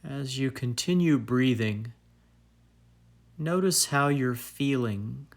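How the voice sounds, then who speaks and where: A man leads a guided meditation at an extremely slow pace. His pitch sits in an average male range. He speaks calmly and soothingly, placing each phrase deliberately and leaving long pauses between them. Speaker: meditation guide and fitness trainer.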